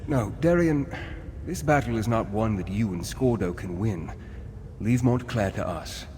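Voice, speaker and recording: Noble, earnest fantasy leader. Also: male voice, lowish voice, expressive, measured pace, warm tone, in a large hall, a little hiss or hum. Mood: solemn, reassuring. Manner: formal, solemn, dramatic. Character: noble, earnest male war leader and ally. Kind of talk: fantasy video game acting.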